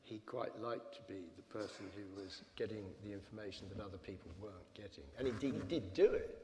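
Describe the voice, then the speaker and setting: Low-voiced man in a noisy echoing hall. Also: fairly quick, crowd noise. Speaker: panel guest. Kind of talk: panel discussion. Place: lecture hall. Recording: echoey hall with loud background noise.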